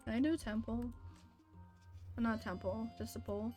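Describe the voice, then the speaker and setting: Casting: young female game streamer, high voice, blunt and grumbly casual commentary, game music underneath. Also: steady tone, normal speed, a little mellow. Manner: blunt, sweary, complaining. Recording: music behind the voice.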